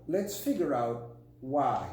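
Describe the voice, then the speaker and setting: A man heard in a very reverberant room, some way from the mic, with a faint hum. His tone is dark and relaxed. He talks like a podcast co-host, frank and emphatic about his opinions. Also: medium pitch, slow pace, recorded from a distance. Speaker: podcast co-host and relationship coach. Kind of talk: conversational podcast discussion.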